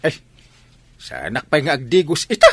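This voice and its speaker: A man's voice: a little high, huge pitch swings, brisk, husky, heavily acted. An actor playing an evasive village man who refuses to bathe, stammering through excuses in an Ilocano Filipino accent in a comic radio drama.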